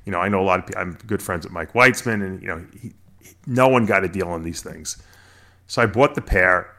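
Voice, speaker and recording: A man's voice: low voice, brisk, gravelly, sharp tone. A middle-aged American man talking casually as an interview guest, explaining things in an emphatic, talkative way with repeated phrases. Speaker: podcast guest, bodybuilder and snake breeder.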